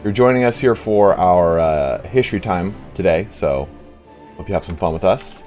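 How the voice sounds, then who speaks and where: A man streaming a game while giving a casual, rambling history talk, hoarse today, with blunt profanity and shout-outs to donors. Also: low-pitched, animated, moderate pace, soft background music. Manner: rambling, profane, reads donations aloud.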